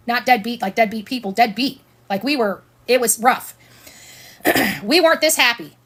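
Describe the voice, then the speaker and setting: A woman's voice: a little high, animated, normal speed, a bit bright. An American woman giving informal, opinionated livestream commentary in a blunt, sarcastic way, repeating phrases for emphasis. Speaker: online commentator and show host.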